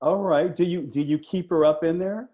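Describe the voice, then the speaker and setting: A man hosting a casual interview, asking questions in a halting, conversational way. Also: fairly high pitch, warm tone.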